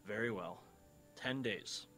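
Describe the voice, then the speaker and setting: A man's voice: on the low side, slow pace, bright, forceful tone, faint music behind, pitched above the performer's own voice. A man playing an anxious, deferential chief official of a royal court in a dramatized Bible reading, with a fearful, servile manner.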